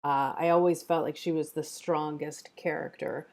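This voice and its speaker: A woman in a casual script discussion, giving her view in a measured, tactful way. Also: sits low, steady tone, gravelly, a little mellow. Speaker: script table read panelist.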